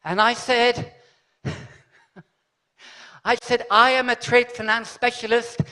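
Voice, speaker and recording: A man speaks from a conference stage in a high, bright voice. He tells his story with animation, often pausing to check in with the hall. His tone is forceful and crisp. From Ireland. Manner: animated storyteller, repeats "okay?" and "fantastic". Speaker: conference host. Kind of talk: live conference speech with anecdotes.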